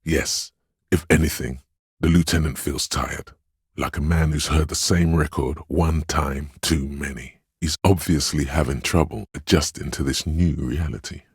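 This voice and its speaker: A man voicing a sensitive inner voice in a video game, narrating other people's feelings in a measured, gentle, literary way. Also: very deep voice, steady tone, husky, no echo, studio quality, full character voice. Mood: concerned, observant.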